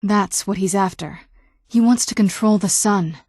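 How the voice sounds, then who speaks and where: Young sounding ancient vampire lady from a video game, soft mellow tone, wry and guarded, really dry clean sound. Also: mid-range voice, measured pace, breathy edge, dry recording. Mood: determined. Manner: wry, conversational, understated. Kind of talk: video game character dialogue.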